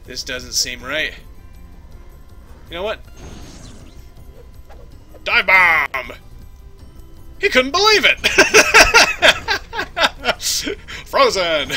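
Goofy, bright-voiced man playing a game. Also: high voice, wildly swooping pitch, extremely slow, long pauses. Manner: goofy, jokey, talks to the game characters. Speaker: let's play host. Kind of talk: casual gameplay commentary. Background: game music.

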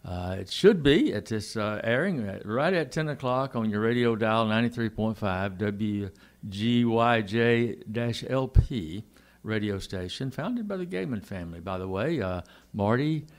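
A man hosting a local radio interview show, talking casually and haltingly with frequent pauses. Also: fairly low pitch, animated. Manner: rambling, halting with fillers.

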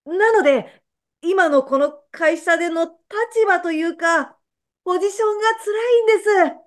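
Lady doing a meek pheasant employee in a comedy radio play, super high voice, polite and hesitant. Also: moderate pace. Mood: aggrieved. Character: meek, apologetic pheasant employee of a company president. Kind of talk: comedic radio drama acting.

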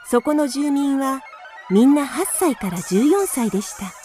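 A woman narrating a children's radio drama in a calm, even storytelling manner. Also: high voice, measured pace, over music.

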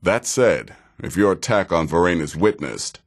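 An actor playing a cynical, seasoned male assassin in a video game, with a dry, deadpan and deliberate manner. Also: deep voice. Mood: cool, instructive. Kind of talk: video game character dialogue.

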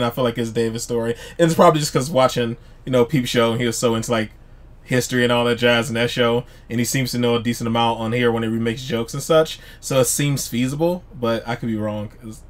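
Male reaction host, 30s, casual and quick, mid-range voice. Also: medium pitch, fairly even intonation. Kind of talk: casual commentary. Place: small room lined with shelves, indoors.

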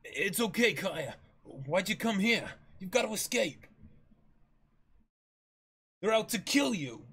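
A man's voice: sits high, lively intonation, slow, bright, forceful tone, breathy edge, voice pushed up, exaggerated voice. A man voicing a brave, desperate young sea warrior, urgent and earnest, in an amateur dramatic manga reading.

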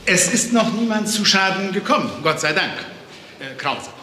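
An actor playing a flustered, indignant hospital professor in a German crime radio drama. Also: male voice, high voice, animated, normal speed, pushed and bright, echoey room, long reverb tail, a little hiss or hum, heavily acted.

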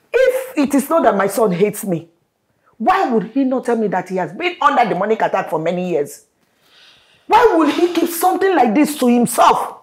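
An actress with a Nigerian accent playing a dramatic widowed mother who scolds and wails at her son. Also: fairly high pitch. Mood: complaining. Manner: theatrical, shouting, scolding. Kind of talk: Nollywood-style drama.

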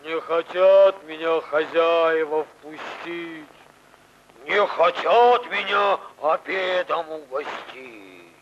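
A man playing a big, hungry, blunt bear in a 1940s animated fairy tale, gruff and declaiming in rhyme. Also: a little high, slight background noise. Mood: aggrieved, rallying. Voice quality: gravelly. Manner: slow, declamatory. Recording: dull old 1940s film soundtrack.

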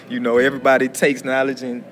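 An American man gives reflective interview answers in a halting, philosophical manner. His pitch is average for a man, and guitar music plays clearly behind him. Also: monotone. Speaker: prison education student. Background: acoustic guitar music.

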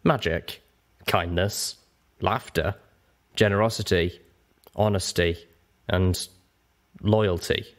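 A man's voice: deep voice, fairly even intonation, takes it slowly, long pauses. A man voicing an ancient, lonely dragon guardian in a story reading, weary, blunt and grave. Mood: morose. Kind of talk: audiobook character voice.

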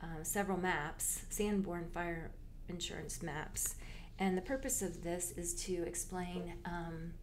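A woman with a notably low voice presents a slideshow as a library genealogist, explaining each slide in an unhurried, informative way. She pauses often and restarts some of her phrases. A faint hum of ambient noise sits under her voice. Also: darkish tone.

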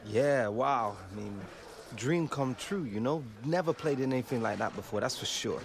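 A man's voice: medium pitch, lively intonation, normal speed, slight background noise, natural voice. A young man with an English accent playing a modest, earnest footballer in a game cutscene. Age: young adult. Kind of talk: video game cutscene acting.

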